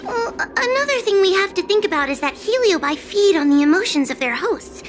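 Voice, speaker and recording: A woman's voice: very high-pitched, sing-song, a little fast, background noise. A woman voicing a timid, anxious young girl in an anime game, hesitant and stammering but eager to please. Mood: hesitant, worried. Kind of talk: anime game dialogue acting. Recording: music behind the voice.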